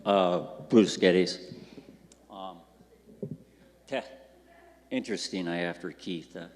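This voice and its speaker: An older man speaks at a public meeting in a low, blunt voice, rambling and bitter as he makes his points. His words ring out with a long hall reverb. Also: slow pace. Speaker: public commenter.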